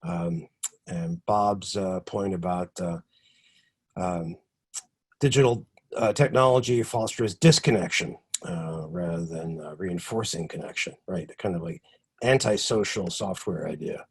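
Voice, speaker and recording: Male workshop host on a video call, low husky voice, hesitant delivery. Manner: halting, many ums and uhs. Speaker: session host. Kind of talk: online workshop moderation.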